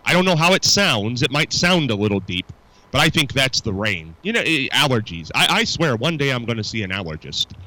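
A man's voice: medium pitch, very animated, a little fast, bright, forceful tone, rough recording. A man hosting a local radio talk show, chatting casually and rambling with restarts.

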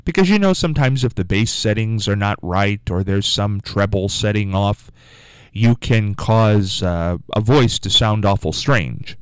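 A man's voice: fairly low pitch, animated, a little slow, clean tone, no echo. A man hosting a morning call-in radio show. He talks in a rambling, musing way and keeps asking listeners to phone in. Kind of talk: casual call-in radio talk. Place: radio studio. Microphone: studio microphone.

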